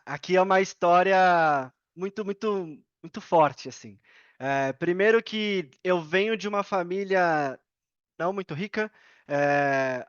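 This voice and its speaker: Male podcast guest, Brazilian accent, high-pitched, casual storyteller, dry recording with dulled sound. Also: no echo, dull audio.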